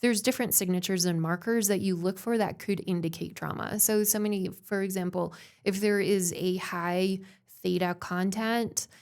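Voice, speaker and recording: A woman's voice: mid-range voice, fairly even intonation, moderate pace, a little mellow. A woman from Canada with a mixed German and Canadian accent, explaining brain mapping in an upbeat, practical way as a podcast guest. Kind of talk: casual interview answers.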